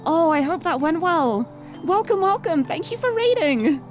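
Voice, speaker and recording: High-voiced British woman streamer. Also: big pitch swings, normal speed. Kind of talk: live game stream chat and read-aloud narration. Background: music.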